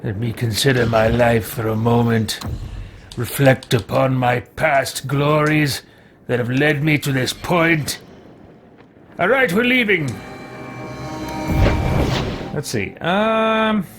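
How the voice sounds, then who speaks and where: A man's voice: medium pitch, slow, pauses often. A man hosting a roleplay let's play, improvising commentary in a musing, playfully pompous way. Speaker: gamer, livestream host. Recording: clear noise behind the commentary.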